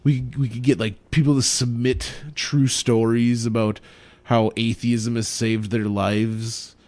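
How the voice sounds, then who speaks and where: A man's voice: medium pitch, little pitch movement, unhurried, darkish tone. A young adult man co-hosting a podcast, joking and laughing a lot in casual chat. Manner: joking, laughs often, swears. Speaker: podcast co-host.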